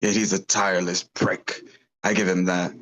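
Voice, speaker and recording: A crude, resentful poor townsman from a comic period script , read by a man. His voice sits fairly low, and he grumbles with bitter sarcasm. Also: level tone, normal speed, a bit bright. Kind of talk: screenplay table read.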